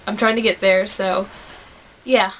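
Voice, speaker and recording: A woman's voice: mid-range voice, fairly even intonation, unhurried, fairly bright tone. An American woman in her twenties chatting casually and earnestly to viewers as a video blogger, answering questions in an informal, rambling way on a noisy home recording. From United States. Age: 20s. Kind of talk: casual chat to camera.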